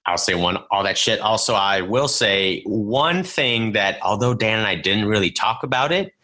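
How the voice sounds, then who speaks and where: A man's voice: on the low side, expressive, normal speed, pushed and bright. A man co-hosting a podcast, chatting casually and joking with his friend, swearing now and then. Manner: joking, swears, self-deprecating. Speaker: podcast co-host. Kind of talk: casual banter.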